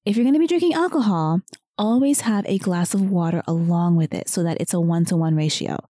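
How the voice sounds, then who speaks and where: A woman hosting a podcast speaks gently and encouragingly, her voice a bit strained because she is losing it. Her tone is warm and mellow. The recording is close and dry. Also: fairly low pitch, brisk, dry recording. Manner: softer than usual, encouraging. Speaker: podcast host and weight loss coach. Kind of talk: solo podcast talk.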